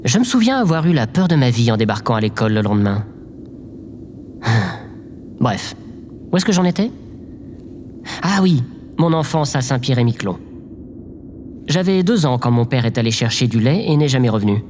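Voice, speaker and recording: A man's voice: mid-range voice, big pitch swings, normal speed, pauses often, darkish tone, a little hiss or hum, natural voice. Man playing a hapless podcaster in reverberant space. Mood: weary, forlorn. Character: self-pitying, hapless male amateur podcaster. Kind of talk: audio drama monologue.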